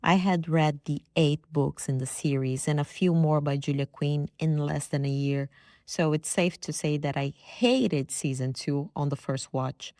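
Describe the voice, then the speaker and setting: Low-voiced woman hosting a podcast. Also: unhurried, mellow, no echo, clean studio sound. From Brazil. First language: Brazilian Portuguese. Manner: playful, self-deprecating storytelling. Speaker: podcast host. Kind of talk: reflective personal monologue.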